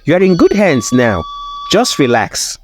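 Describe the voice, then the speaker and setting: A storyteller voicing a seductive, cunning she-devil who poses as a beautiful woman and talks in a soft, coaxing way. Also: mid-range voice, very animated, unhurried, faint music behind. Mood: soothing. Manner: soft, coaxing, smooth-talking.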